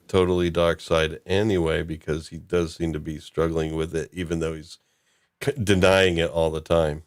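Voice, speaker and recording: Very deep-voiced man, casual and halting. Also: no echo, clean studio sound. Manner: halting, loosely improvised. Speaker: host of a discussion show. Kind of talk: casual recap discussion.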